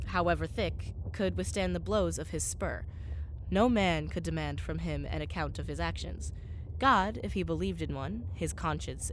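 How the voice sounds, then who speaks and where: Woman as a low-voiced, reflective scholar narrator. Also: natural voice, low-quality recording. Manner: formal, literary, measured. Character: thoughtful male scholar narrating his captivity at sea. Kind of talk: radio play narration. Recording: faint background noise.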